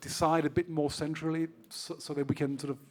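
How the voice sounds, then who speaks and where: Frank Austrian-raised panelist with restarts. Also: male voice, on the high side, flat delivery, fairly quick. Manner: frank, many restarts and repeated words. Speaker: solar association representative. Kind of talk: panel discussion.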